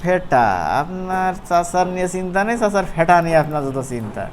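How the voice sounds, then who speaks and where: A man with a Bangladeshi accent giving an informal, playful software tutorial as an instructor, often checking in with his listeners. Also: high-pitched, expressive, brisk, slight background noise. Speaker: animation instructor.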